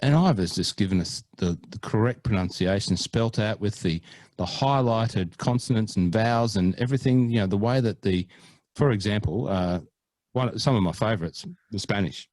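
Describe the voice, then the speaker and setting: A man's voice: fairly low pitch, moderate pace, a little mellow, dry recording. A man co-hosting a golf podcast in casual chat, playful and mock-earnest, hamming up foreign pronunciations. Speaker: podcast co-host.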